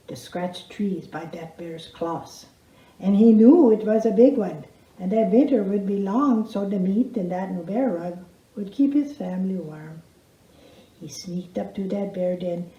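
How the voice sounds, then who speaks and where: Female storyteller, dark mellow voice, emphatic folksy verse recitation, roomy stage sound. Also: medium pitch. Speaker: storyteller reciting a comic poem. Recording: roomy echo, dull sound.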